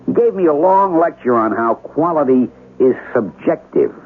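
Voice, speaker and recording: A blunt, weary businessman in an old radio drama. His voice is husky and animated, with a sardonic, matter-of-fact turn. It comes through thin, like a telephone line. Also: on the high side, normal speed. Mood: resigned, sardonic. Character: weary, blunt businessman buyer under pressure. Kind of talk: 1970s radio drama acting. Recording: tinny old radio broadcast.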